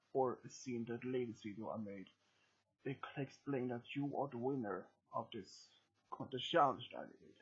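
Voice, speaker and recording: A man with a Swedish accent and a sore throat, speaking English as a second language in a hoarse, husky voice and giving a halting, casual update to his channel's viewers. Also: mid-range voice. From Sweden. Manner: halting, non-native phrasing with many restarts. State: sore throat and cold, voice deeper than usual. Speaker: YouTube storyteller. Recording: dull lo-fi home recording.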